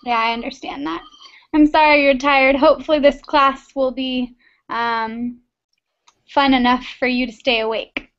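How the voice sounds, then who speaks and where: A woman's voice: sits high, fairly even intonation, measured pace, fairly bright tone. A woman from Texas teaching an online English class, friendly and patient, encouraging her students over a video call. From Texas, United States. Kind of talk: online class small talk. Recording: muffled video-call audio.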